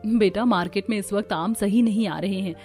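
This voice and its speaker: A woman narrating and voicing characters in a cartoon story, expressive and conversational. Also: mid-range voice, brisk, faint music behind. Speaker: narrator and voice actor.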